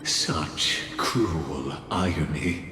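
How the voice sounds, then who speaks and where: A man playing a solemn, ominous narrator of doom in a video game, speaking in a grave, theatrical and deliberate way. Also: rumbling low, background noise, heavily acted. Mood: grave, bitter. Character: solemn, ominous male narrator foretelling a doomed hero. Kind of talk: dramatic scripted game narration. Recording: echoey long reverb under loud music.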